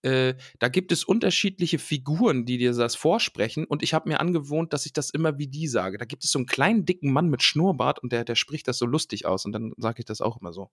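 A man's voice: medium pitch, animated, fast, hardly any pauses, a bit bright, no room sound, clean studio sound. A man co-hosting a podcast in casual chat, playful and jokey, reading a list of names and wandering off topic. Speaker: podcast co-host.

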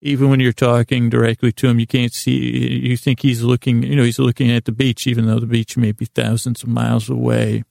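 Very creaky, rambling male podcast host. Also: medium pitch, little pitch movement, a little mellow, no echo, clean studio sound. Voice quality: very creaky, gravelly voice. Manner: meandering, halting, trails off mid-thought. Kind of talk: rambling bedtime storytelling monologue.

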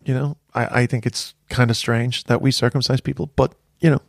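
A man's voice: mid-range voice, level tone, fairly quick, vocal fry, no room sound, studio quality. Stammering American man in his forties. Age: 40s. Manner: rambling, stammering restarts. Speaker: podcast host. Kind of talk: casual podcast chat.

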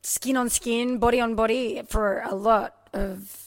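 A woman in her thirties with an Australian accent, chatting casually as a podcast guest, animated and prone to trailing off mid-sentence. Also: on the high side, measured pace. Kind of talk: casual chat.

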